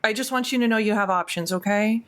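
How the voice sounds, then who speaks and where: A woman's voice: mid-range voice, fast, a bit bright, close to an everyday voice. A woman voicing a nervous young woman who bluffs in an urgent, rambling, stammering way in a tabletop game. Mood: earnest.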